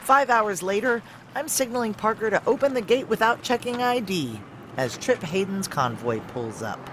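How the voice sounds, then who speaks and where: A terse, hard-edged security chief. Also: male voice, high voice, normal speed, a little hiss or hum, low-quality recording. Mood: wry, guarded. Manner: clipped, terse, deadpan. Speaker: narrator and lead actor. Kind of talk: noir first-person audio drama narration and dialogue.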